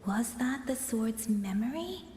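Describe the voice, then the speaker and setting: An actress playing an earnest, polite young heroine with a gentle, thoughtful manner. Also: female voice, a little high, expressive, measured pace, slight background noise. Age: young adult. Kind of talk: scripted game dialogue. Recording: music behind the voice.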